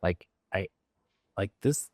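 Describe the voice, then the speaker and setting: A man's voice: slow, dry recording, studio quality. A Japanese man speaking English with a learned American accent, a talkative podcast guest. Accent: American, learned from an acting coach. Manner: loose and talkative, fillers and restarts. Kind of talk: podcast interview.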